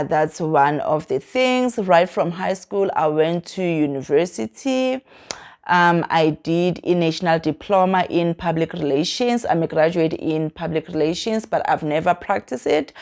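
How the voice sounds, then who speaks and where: Chatty South African woman, low voice. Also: darkish tone. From Mpumalanga, South Africa. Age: 30s. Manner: chatty and rambling, switching between English and Zulu phrases, often correcting herself. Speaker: vlogger. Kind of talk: casual chat to camera, self-introduction.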